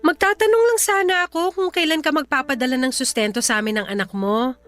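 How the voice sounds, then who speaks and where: A woman's voice: high voice, quick pace, fairly bright tone, soft background music. An actress playing a clingy, desperate mother pleading with her ex in a Filipino radio drama, with an insistent, emotional delivery and a Filipino accent. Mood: tentative, coaxing.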